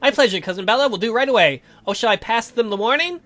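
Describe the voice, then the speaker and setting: A man's voice: extremely high pitch, animated, quick pace, pushed and bright, soft background music, pitched above the performer's own voice, heavily acted. A man voicing an obliging female vampire cousin in a visual novel, with a casual, chatty manner.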